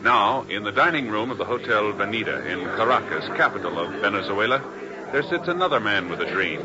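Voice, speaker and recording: A man's voice: fairly low pitch, expressive, fairly quick, over loud music. A man narrating as announcer of a 1950s radio drama in a dramatic style, on a muffled vintage recording.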